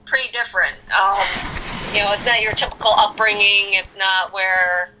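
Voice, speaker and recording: A young woman with an American Midwest accent, a guest in a casual interview, telling animated stories with frequent laughter. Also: medium pitch, normal speed, background noise. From South Korea. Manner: animated storyteller who laughs often. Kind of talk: casual conversation.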